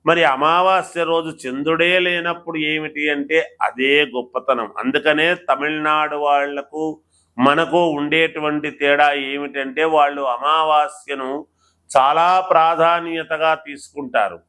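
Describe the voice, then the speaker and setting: A man speaking as an astrologer, explaining remedies to viewers in a deliberate, instructional way and repeating phrases. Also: on the high side, fairly even intonation, pushed and bright. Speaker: astrologer presenter.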